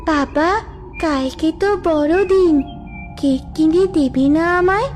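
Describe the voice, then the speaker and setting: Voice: very high voice, takes it slowly, faint music behind. A woman voicing a stubborn, naive young village boy who whines and pleads in a children's cartoon. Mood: pleading, curious. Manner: whining, insistent, naive.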